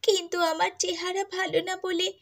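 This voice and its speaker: A woman voicing a meek, sorrowful young stepdaughter in a cartoon, speaking softly and pleadingly. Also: very high voice, little pitch movement, moderate pace, gravelly, full character voice. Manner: soft, pleading, plaintive. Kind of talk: Bengali cartoon dubbing.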